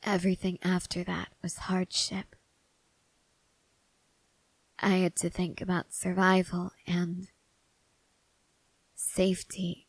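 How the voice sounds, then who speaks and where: A woman playing a weary young female soldier, guarded but tender, in an intimate ASMR roleplay, speaking in a hushed, halting way with soft whispers. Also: on the low side, no echo, close to an everyday voice, rough recording.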